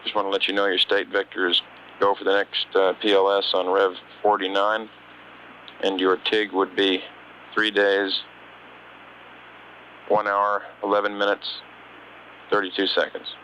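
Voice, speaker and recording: A man's voice: low voice, monotone, measured pace, long pauses, bright, forceful tone. A man working as a mission control communicator, talking to a crew over a radio link. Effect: radio transmission. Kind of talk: air-to-ground radio exchange. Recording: dull radio link with faint hiss.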